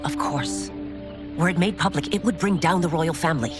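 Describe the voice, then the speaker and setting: An actress playing a proud former royal guard turned constable chief in a game cutscene, speaking formally and earnestly with firm resolve. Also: female voice, on the low side, moderate pace, close to an everyday voice. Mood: troubled, resolute. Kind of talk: dramatic video game cutscene acting. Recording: orchestral game music behind.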